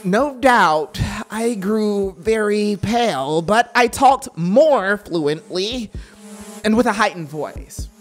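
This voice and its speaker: A narrator playing a guilt-mad murderer in a theatrical, drawn-out horror audiobook performance, feverish and unraveling. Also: mid-range voice, animated. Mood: agitated, frantic. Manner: theatrical, drawn-out, swinging from hush to shriek. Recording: synthesizer music behind the voice.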